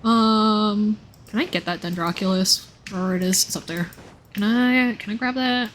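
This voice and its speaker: A woman's voice: mid-range voice, normal speed, a little hiss or hum. A woman chatting casually and playfully on a live game stream, with informal, slangy speech. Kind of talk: casual live stream chat over gameplay.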